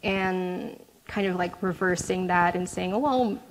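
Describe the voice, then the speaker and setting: A woman's voice: fairly low pitch, a little slow, a little hiss or hum, rough recording. Hesitant woman answering questions. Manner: hesitant, many fillers and restarts. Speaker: speaker answering audience questions. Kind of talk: casual Q&A at a talk.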